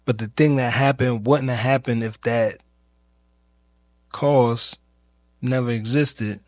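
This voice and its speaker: Male podcast host, medium pitch, slow and searching delivery, informal reflective monologue, very dry close sound. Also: steady tone, no room sound. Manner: halting, searching, with long pauses and repeated phrases; informal, rhetorical questioning. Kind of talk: reflective personal monologue about grief.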